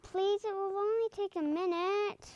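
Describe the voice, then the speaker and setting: A woman's voice: very high-pitched, steady tone, measured pace, darkish tone, no room sound, dull audio. A female performer playing an earnest, insistent little girl in an audio drama, matter-of-fact and a bit bossy. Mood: gently bossy.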